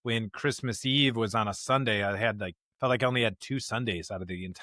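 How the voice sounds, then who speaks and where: A man's voice: on the low side, fairly quick, sharp tone, no room sound, clean studio sound. Chatty American man hosting a podcast. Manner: chatty, informal. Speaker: podcast co-host and pastor. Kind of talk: casual podcast chat.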